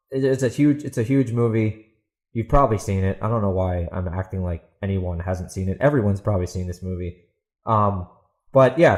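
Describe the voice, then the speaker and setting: A man's voice: medium pitch, a little mellow. A man hosting a solo podcast, talking casually and emphatically with opinionated asides. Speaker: podcast host and author.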